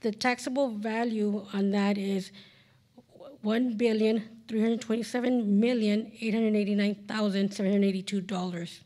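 A woman's voice: mid-range voice, level tone, moderate pace, mellow. A woman in her forties, a school district finance officer, reading budget figures at a board hearing into a podium microphone, with many fillers and self-corrections. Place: large council chamber, indoors.

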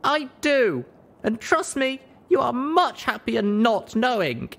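A man voicing a plucky young female pony leader in an audiobook, calling out in a high, urgent voice. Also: sing-song, slow pace, creaky, full character voice. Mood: grim. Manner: high-pitched, urgent shouting. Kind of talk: dramatized audiobook character voice.

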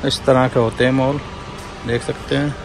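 A man's voice: medium pitch, flat delivery, moderate pace, creaky, noisy background. A young man walking viewers through a large indoor supermarket, talking casually to them on a handheld phone. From India. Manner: conversational, keeps saying "friends" to viewers. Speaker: vlogger. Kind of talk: walking tour vlog.

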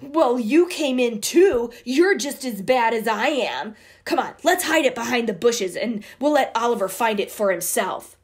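A woman's voice: on the high side, expressive, measured pace. A woman voicing a remorseful little girl who pleads earnestly in a raised voice. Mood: defensive.

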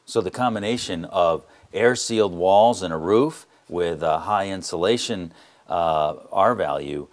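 Low-voiced man explaining on a tour. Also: a little slow. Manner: earnest and plain-spoken, with frequent uh fillers. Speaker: home energy contractor presenting a walkthrough. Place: indoors in a wood-walled barn and its concrete basement.

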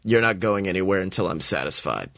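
A man voicing a weary older male chemistry teacher, speaking low and flat in a deadpan way. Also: a little fast, gravelly, no room sound, studio quality, heavily acted. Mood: grim.

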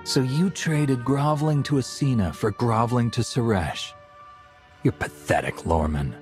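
A man's voice: medium pitch, a little slow, soft background music, natural voice. A man playing a stern, defiant commander in a video game, curt and scornful. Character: male heroic commander, stern, defiant, unimpressed.

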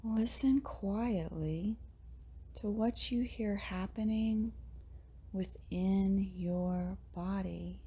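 A woman leading a guided meditation in a soft, breathy, often near-whispered voice, gently soothing, with long pauses between short phrases. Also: mid-range voice. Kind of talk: guided meditation, slow and hushed.

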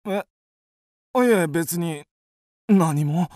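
An actor playing a panicky, indignant gentleman who stammers and protests his innocence in a radio drama. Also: on the high side, very slow, dry recording, clean studio sound. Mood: shaken, earnest. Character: indignant, panicking young gentleman passenger wrongly accused.

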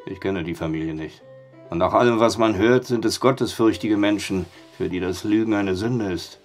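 Man acting dry sceptical bartender in a german radio play, lowish voice, soft music behind. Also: normal speed, lightly acted. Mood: dry, skeptical. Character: skeptical, dry male barkeeper trading stories. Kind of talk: German audio drama acting.

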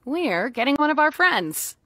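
A woman's voice: high voice, sing-song, unhurried, a bit bright, exaggerated voice. A woman voicing a caring but nervous rag doll in a cartoon, prone to stammering. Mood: nervous, worried. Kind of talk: animated series voice acting.

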